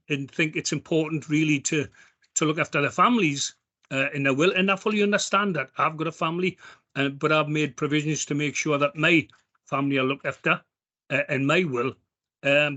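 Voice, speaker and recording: A middle-aged man with a Geordie accent, giving a heartfelt appeal at an online charity event, halting often with ums and restarts. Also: fairly high pitch, pushed and bright. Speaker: member of a veterans' charity speaking at an online event.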